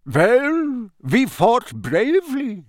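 A man acts out a gloomy storybook government minister, pitched well above an ordinary male voice. He drags his words out mournfully, with a dry, wry streak under the gloom. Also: huge pitch swings, very slow, no echo, heavily acted. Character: gloomy foreign government minister, middle-aged man, wryly amused.

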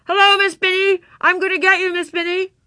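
Woman acting a rowdy yelling little witch girl. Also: very high-pitched, level tone, a little fast, no room sound, studio quality, voice pushed up. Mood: gleeful, rowdy. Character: boisterous little girl playing a masked witch.

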